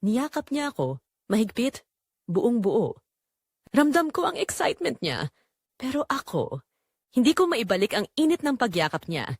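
A woman's voice: fairly high pitch, sing-song, moderate pace, pauses often, breathy edge, no echo, clean studio sound. A woman with a Filipino accent reading a first-person scripted drama in a confessional, storytelling manner. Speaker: audio drama narrator.

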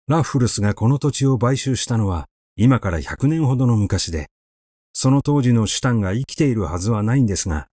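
A man narrating a literary short story in a measured, expressive storytelling manner. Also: on the low side, husky, no echo, clean studio sound. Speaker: audiobook narrator.

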